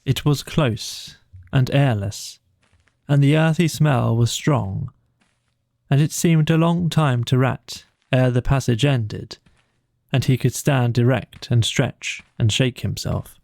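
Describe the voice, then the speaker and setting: A man narrating a bedtime audiobook story from a script, with measured, deliberate phrasing. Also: mid-range voice, vocal fry, darkish tone, dry recording.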